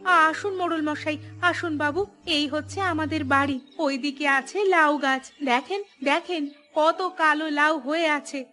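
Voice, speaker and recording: A woman acts out a poor village housewife from a cartoon, polite and humble. Her voice is high and bright, with music playing behind it. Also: fairly even intonation, a little slow. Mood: pleased, grateful. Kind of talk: cartoon voice acting.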